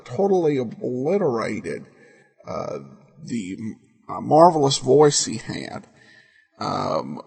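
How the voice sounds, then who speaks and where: A man hosting a podcast, giving unscripted commentary in halting, hesitant phrases. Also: mid-range voice, gravelly, a little mellow, slightly rough. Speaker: podcast host. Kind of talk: unscripted commentary on old radio shows.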